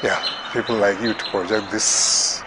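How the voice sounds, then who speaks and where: A man's voice: on the low side, fairly even intonation, a little slow. A man with a Nigerian accent giving informal remarks on camera. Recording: very noisy location with birdsong.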